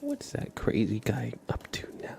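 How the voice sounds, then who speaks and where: A man hosting an ASMR livestream, whispering softly and slowly to his viewers in chat, close to the microphone. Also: mid-range voice, huge pitch swings, gravelly. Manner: soft, slow, unhurried, chatting to viewers. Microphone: close microphone, leaned into.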